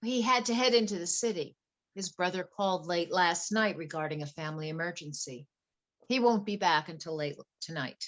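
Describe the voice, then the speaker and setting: A woman's voice: on the low side, normal speed, close to an everyday voice. A woman playing a wealthy, anxious wife in a play reading, earnest, polite and flustered. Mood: nervous, apologetic. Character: wealthy, anxious wife confiding fears about her husband.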